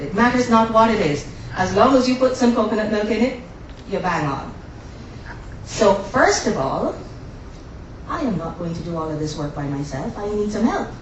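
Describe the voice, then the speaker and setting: A woman's voice: mid-range voice, slight background noise. A woman from the Caribbean, hosting a live cooking demonstration and chatting with the audience. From born in Guyana, raised in Barbados. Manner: chatty, jokey, talks to the audience. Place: demo kitchen on a cruise ship. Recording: very echoey room, distant mic, faint ambience.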